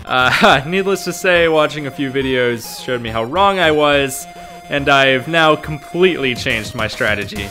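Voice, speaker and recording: A man's voice: medium pitch, expressive, measured pace, bright, forceful tone, faint music behind. A young man narrating a scripted vlog in a self-deprecating way. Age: young adult. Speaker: host, amateur game maker.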